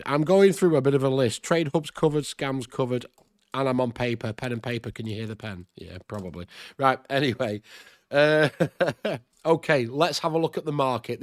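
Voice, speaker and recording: A man with a cold, his voice nasal and stuffed-up. He talks a casual game tutorial in a chatty, plain-spoken way at a middle pitch, thinking aloud as he goes. The recording is dry and close to the mic. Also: no echo. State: congested with a cold.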